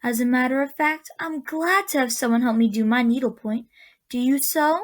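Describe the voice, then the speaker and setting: Woman acting kindly, high-voiced old auntie. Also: moderate pace, close to an everyday voice. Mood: warm, busy. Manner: gentle, genteel, grandmotherly endearments. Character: kindly, gently firm old woman of a magical land. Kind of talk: radio drama acting.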